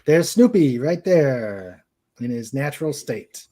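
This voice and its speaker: A man hosting a casual livestream, speaking in a halting way as he searches for words. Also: mid-range voice, sing-song, dark tone. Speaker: host of a live-streamed show.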